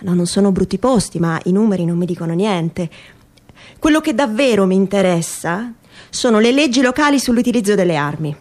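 A woman performing a theatrical reading of a humorous first-person essay in a wry, mock-earnest and expressive manner. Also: medium pitch, brisk.

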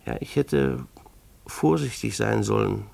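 An actor playing a timid, needy husband turned soap peddler in a radio drama, hesitant and uneasy. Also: male voice, lowish voice, sing-song, a little slow, gravelly, darkish tone, heavily acted. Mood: wry, uneasy recollection. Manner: hesitant stammering when speaking to others. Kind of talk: radio drama narration and dialogue.

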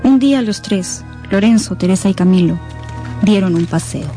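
A woman's voice: mid-range voice, moderate pace, mellow. A woman reading dramatic scripted narration as the narrator of a Spanish-language radio novel. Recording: clear music behind the narration.

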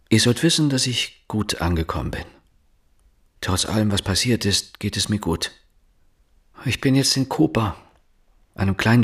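A weary police inspector in a German radio drama. His voice is low and noticeably husky. He speaks with deliberate, bitter insistence, like an interrogator who expects lies. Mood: solemn reading.

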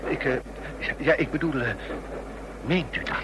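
A man's voice: unhurried, natural voice. An actor in a Dutch radio drama playing a nervous, evasive travelling salesman who speaks in a hesitant, flustered stammer. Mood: anxious. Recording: noisy radio play with vehicle rumble.

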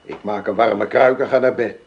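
An actor playing a gruff, distrustful old farmer in a radio drama, talking in a blunt, grumbling way. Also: male voice, fairly low pitch, fairly even intonation, moderate pace, lightly acted. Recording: dull lo-fi radio play recording.

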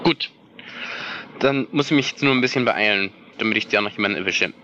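A man's voice: lowish voice, fairly quick, sharp tone, far from the mic, highs cut off, a little hiss or hum. A man talking on the phone as a journalist, placating and somewhat hesitant. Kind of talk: phone conversation.

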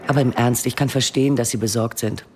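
An actor, a man, playing a calm, candid, reassuring doctor in a radio drama. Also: medium pitch, quick pace, a little hiss or hum, close to an everyday voice.

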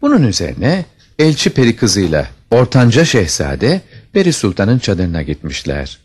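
A man's voice: lowish voice, huge pitch swings, moderate pace, darkish tone, breathy edge. A man narrating a scripted fairy tale as a storyteller, with dramatic expression.

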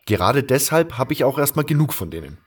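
A man's voice: medium pitch, big pitch swings, a little fast, slightly rough. A man playing an earnest, brooding adventurer who tells his own story in a fan audio drama. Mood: wry, curious. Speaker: narrator and lead actor in a fan audio drama. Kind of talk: first-person dramatic narration and dialogue.

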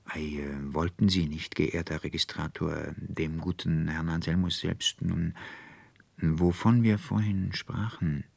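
A man's voice: low-pitched, fairly even intonation, takes it slowly, creaky, darkish tone, slightly rough, no echo, full character voice. A man voicing a pedantic, pompous deputy headmaster who lectures, in a storybook reading. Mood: conspiratorial.

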